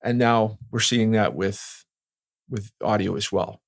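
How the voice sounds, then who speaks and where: A man with a fairly low voice speaks as a seasoned industry expert in an interview. He explains step by step in a conversational way, often tagging points with "right?", and the sound is dry with very little room. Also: steady tone, slow pace, gravelly, no room sound. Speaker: security and communications industry veteran being interviewed.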